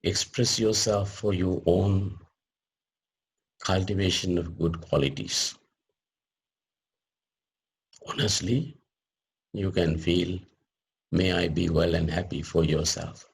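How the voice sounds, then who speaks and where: A man with a low, husky voice guides a meditation. He speaks very slowly and deliberately, setting out short phrases with pauses, as a teacher instructing a group would. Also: steady tone.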